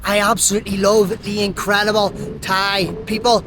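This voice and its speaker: A man's voice: high voice, level tone, moderate pace, noisy background. A man with a Belfast Northern Irish accent, presenting an upbeat travel vlog and interviewing people outdoors in a city. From Northern Ireland. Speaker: travel vlogger.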